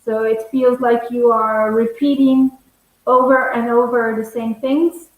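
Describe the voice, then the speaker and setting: A young bilingual English and Italian woman from Italy, teaching in a conversational, measured, explanatory way on a video call. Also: on the high side, level tone, phone-quality sound. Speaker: English teacher, webinar host. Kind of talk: conversational online lesson. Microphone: earphone headset on video call. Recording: very echoey room, video call.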